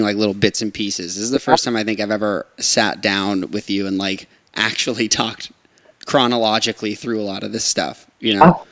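A man's voice: fairly low pitch, a bit bright, no echo. A man hosting a casual chat, laid-back, asking short questions.

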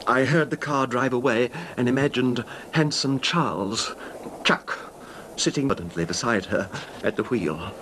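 An actor playing a meek, quietly vengeful husband confessing in a hesitant, genteel, understated way, in old-time radio drama style. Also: male voice, moderate pace, natural voice. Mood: quietly confiding. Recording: noisy vintage radio drama, rumbling background.

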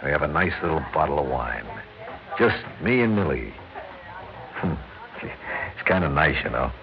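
An actor playing a tired, devoted working-class young husband in an American radio drama, plain-spoken and stammering when pressed, with an American accent. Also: male voice, extremely low pitch, very animated, a little slow, a crowd in the background, heavily acted. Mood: fond, proud. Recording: muffled, noisy old radio recording.

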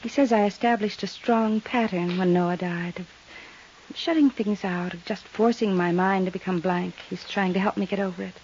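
An actress playing a distraught, fragile widow in a 1950s radio drama, hesitant and drifting. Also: female voice, medium pitch, moderate pace, a little mellow, lightly acted. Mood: embarrassed, vague. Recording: dull, hissy 1950s radio recording.

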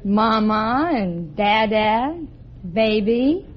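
A woman's voice: fairly high pitch, animated, very slow. Young american lady answering quiz questions, dull old radio sound with a bit of hiss. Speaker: contestant, schoolteacher. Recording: muffled vintage radio, light noise.

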